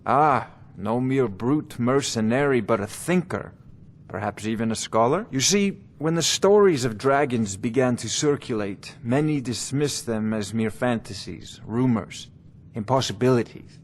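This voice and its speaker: A man's voice: mid-range voice, natural voice. Guy voicing a snooty bookish court wizard in a fantasy game, talks down to you, pompous. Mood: haughty, intrigued. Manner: pompous, condescending, deliberate phrasing. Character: haughty scholarly court wizard obsessed with dragon research. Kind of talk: video game character dialogue.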